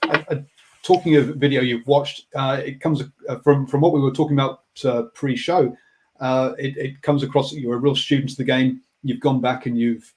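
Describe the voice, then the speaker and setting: A man's voice: medium pitch, steady tone, moderate pace, a little hiss or hum. A man hosting a sports radio interview, stammering through restarts while thinking aloud. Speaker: radio host.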